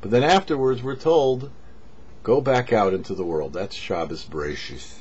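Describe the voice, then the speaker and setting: A man, a rabbi, giving a short sermon with parables in a measured storyteller's delivery, pausing between phrases. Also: mid-range voice, darkish tone, breathy edge. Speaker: rabbi, host of a weekly Torah portion show. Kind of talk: sermon with storytelling, read for a show. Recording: dull, lo-fi audio.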